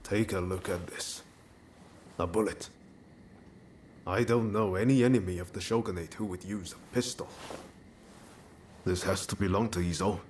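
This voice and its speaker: An actor playing an earnest, loyal young samurai in a video game cutscene, speaking reflectively and with resolve. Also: male voice, deep voice, fairly even intonation, moderate pace, long pauses, a little mellow, a little hiss or hum, lightly acted. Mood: alert.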